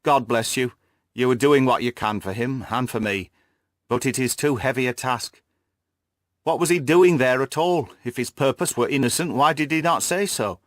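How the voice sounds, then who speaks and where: A man's voice: mid-range voice, moderate pace, dry recording, studio quality, close to an everyday voice. A man voicing an anguished older banker, a dignified and despairing father, in an emotional, lamenting audiobook performance. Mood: agitated. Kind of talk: audiobook character acting.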